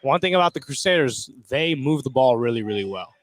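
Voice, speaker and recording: A man's voice: mid-range voice, expressive, fairly quick. A man giving informal live color commentary on a basketball game.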